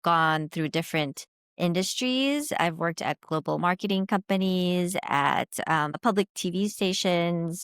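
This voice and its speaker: A woman in her thirties from the Philippines talks through her answers in a thoughtful, searching way. Her voice sits a little low. The sound is dry and close, with no room around it. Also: smooth, dry recording. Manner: thoughtful, hedging with fillers. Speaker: guest. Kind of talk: conversational interview answers.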